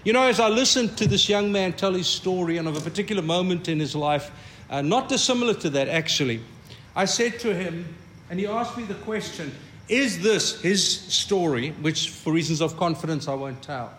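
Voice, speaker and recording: A man's voice: sits high, expressive, normal speed, a bit bright, a little hiss or hum. An older man from South Africa with an Afrikaans accent gives a conversational, reflective sermon as pastor and often repeats words as he starts a thought.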